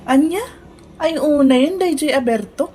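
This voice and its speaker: A woman playing an excitable, chatty village neighbour in a comedy radio drama. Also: sits high, normal speed, noisy background, natural voice. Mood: indignant. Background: background music.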